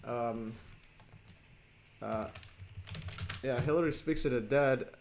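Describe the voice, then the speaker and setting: A man hosting a livestream, chatting casually with many fillers and pauses. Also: medium pitch, creaky, a little hiss or hum. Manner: filler-heavy, chatty, laughs often. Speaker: host.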